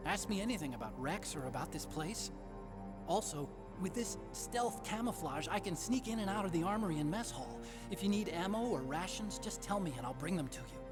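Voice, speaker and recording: Earnest young game scientist, fairly high voice, geeky eagerness, clear music underneath. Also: male voice, animated, fairly quick. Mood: helpful, eager. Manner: eager, talkative. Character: earnest, nerdy, idealistic young male scientist. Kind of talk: video game voice acting.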